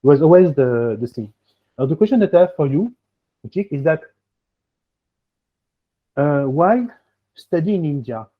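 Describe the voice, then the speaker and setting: A man's voice: on the high side, animated, moderate pace, long pauses. French-accented man on a tinny call. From France. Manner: rambling, peppers speech with "okay?" and "huh?". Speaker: admissions coach hosting a webinar. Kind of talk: advice in an online webinar. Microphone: video call. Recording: tinny phone-like video call audio.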